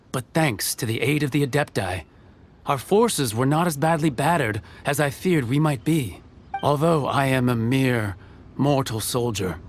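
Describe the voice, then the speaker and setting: A man acts an earnest, loyal soldier in a video game. His voice is mid-pitched, formal and humble, with faint music behind. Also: natural voice. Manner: formal, respectful. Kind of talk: English video game voice acting.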